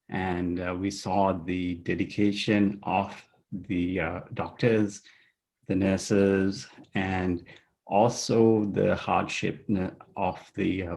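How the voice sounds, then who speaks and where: A man, a foundation director, speaking haltingly and hesitantly on a video call. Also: deep voice, vocal fry, darkish tone, rough recording.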